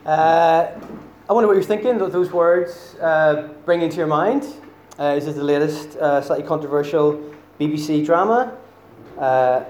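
A man with a Northern Irish accent preaches in a loose, chatty way, full of ums and self-deprecating asides. His voice sits slightly higher than most men's. He is heard in a roomy church with a faint hum of ambience behind him. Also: a little mellow. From Northern Ireland. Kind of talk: sermon. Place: church hall.

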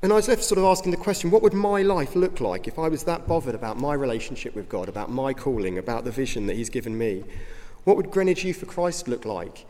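A man with a slightly hoarse voice, giving an informal, earnest talk as a youth ministry director in a church. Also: mid-range voice, lively intonation, fast talker, dark tone. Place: church hall. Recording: reverberant hall.

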